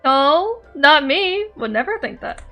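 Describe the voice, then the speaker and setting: A woman's voice: high-pitched, big pitch swings, a little slow, bright, forceful tone. A woman streamer giving casual commentary on a video game in an irreverent, slangy manner. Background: music.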